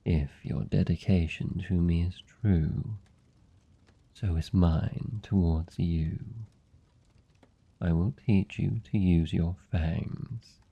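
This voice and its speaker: A man's voice: deep voice, vocal fry, breathy edge, highs cut off. A British man playing a suave, seductive vampire lord in a fantasy ASMR roleplay, speaking formally and teasingly with commanding, predatory politeness. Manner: teasing, formal, silky and deliberate. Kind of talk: fantasy ASMR roleplay, slow intimate monologue.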